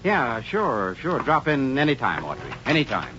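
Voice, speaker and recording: A man's voice: mid-range voice, huge pitch swings, normal speed, sharp tone, soft background music. A man acting a brusque, dismissive, condescending small-town sheriff in a 1950s radio western. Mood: brushing off. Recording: muffled old radio recording.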